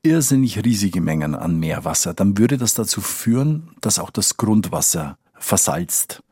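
A man's voice: lowish voice, animated, unhurried, a little mellow, slightly rough, dry recording, studio quality. A man working as a correspondent, explaining things conversationally in an interview and repeating words for emphasis. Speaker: foreign correspondent.

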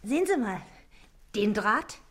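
An actress playing a nosy, sponging, giggly wife in a period stage comedy, chatty and wheedling. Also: female voice, medium pitch, very animated, measured pace, fairly bright tone. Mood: insinuating. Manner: wheedling, chatty, laughs often.

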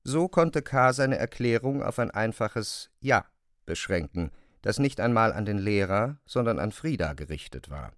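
A man narrating a literary classic as scripted audio drama, in a measured, precise manner. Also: fairly low pitch, big pitch swings, no room sound, clean studio sound.

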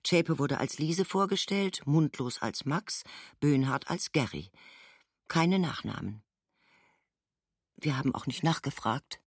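A woman's voice: low-pitched, lively intonation, slow, long pauses, husky, no echo, studio quality, exaggerated voice. An actress playing a matter-of-fact mother giving court testimony in a documentary radio play, in a sober, clipped recital. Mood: matter-of-fact recollection. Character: woman trial witness, a mother recalling holiday friends, matter-of-fact.

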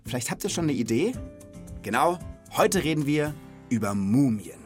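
A man's voice: medium pitch, big pitch swings, moderate pace, over music. A man in his thirties hosting a children's podcast, explaining things in an informal, chatty, conversational way.

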